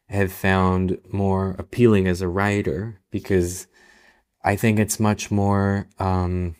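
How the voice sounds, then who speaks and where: A young man from Israel, a native Hebrew speaker, talking reflectively as a novelist guest in a podcast interview, with many restarts and pauses. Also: sits low, a little mellow.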